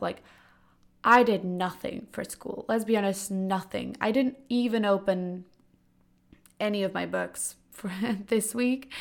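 A young woman in her late teens with a raspy, hoarse voice from a cold, hosting a casual solo podcast in a loose, chatty way. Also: mid-range voice. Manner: chatty, loose, full of "like" and "kinda". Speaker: podcast host.

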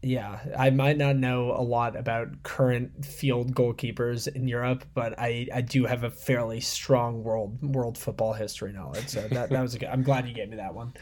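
A man co-hosting a podcast, chatting casually about football and often thinking aloud in informal, halting phrases. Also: mid-range voice, level tone, a little mellow. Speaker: podcast co-host.

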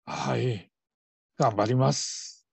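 A woman's voice: rumbling low, very animated, very slow, gravelly, a little mellow, husky, no echo, exaggerated voice. A woman playing a nervous, humble call-centre trainee in her fifties in a radio drama, stammering and stiffly over-polite. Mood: humble, relieved. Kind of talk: Japanese radio drama acting.

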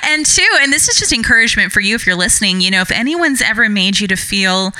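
A middle-aged woman speaks with a very bright, clear tone in a dry, close recording. She talks earnestly and reflectively, often restarting her sentences, in a casual conversational podcast style. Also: medium pitch, lively intonation, fast, no echo, low-quality recording. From Puerto Rico heritage, raised in the US. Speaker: podcast co-host, mother.

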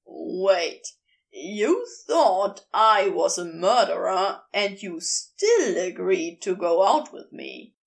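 A woman playing a blunt, sardonic male geologist with a gruff, terse, dry manner in an audio story. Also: mid-range voice, very animated, slow pace, pitched below the performer's own voice, heavily acted. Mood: incredulous.

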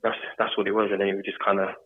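A man from England with a London accent, a mortgage advisor answering interview questions in a relaxed way, chaining thoughts with lots of fillers. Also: lowish voice, rapid-fire. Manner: relaxed, talks in long run-on chains with fillers. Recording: tinny phone-like line.

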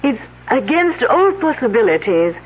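An actress playing a coy young French student with a French accent in a 1970s radio drama. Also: female voice, a little high, sing-song, normal speed, slight background noise. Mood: guarded, defensive. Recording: narrow, telephone-like old radio broadcast.

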